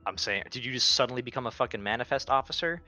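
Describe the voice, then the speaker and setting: Blunt, bright-voiced man playing a tabletop game. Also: mid-range voice, rapid-fire. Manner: swearing, blunt, bossy. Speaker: tabletop roleplaying game player. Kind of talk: casual in-game roleplay and table chat. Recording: music under the voice.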